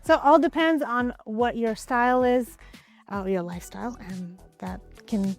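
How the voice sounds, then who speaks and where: A woman from Ukraine, a real estate agent, answering interview questions in a relaxed, conversational way, often hedging with "I would probably say.". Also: on the high side, unhurried, faint music behind. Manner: relaxed, conversational, with frequent hedges.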